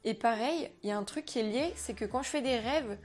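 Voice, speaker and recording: A young woman, a French native speaker, chatting to camera in a slangy, animated way, talking about herself as a vlogger. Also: a little high, brisk. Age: young adult. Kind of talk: casual chat to camera.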